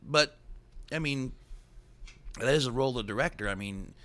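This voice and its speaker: A man's voice: medium pitch, moderate pace, bright, forceful tone. A man hosting a podcast, chatting casually with a guest and dropping in wry, teasing remarks. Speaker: podcast host.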